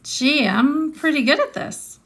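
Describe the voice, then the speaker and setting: A woman voicing a scheming, confident young schoolgirl from a storybook, with a dramatic, drawn-out delivery. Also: high-pitched, vocal fry, fairly bright tone, pitched above the performer's own voice, heavily acted. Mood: bossy, pleased. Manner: dramatic, drawn-out, mock-romantic when dictating.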